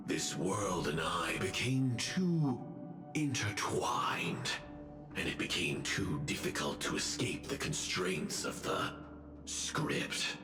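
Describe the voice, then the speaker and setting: A man's voice: low-pitched, full character voice. An actor playing a grave, enigmatic male agent in a video game, with echo and music behind him. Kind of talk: scripted video game voice acting. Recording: echoing voice under loud music. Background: loud game music.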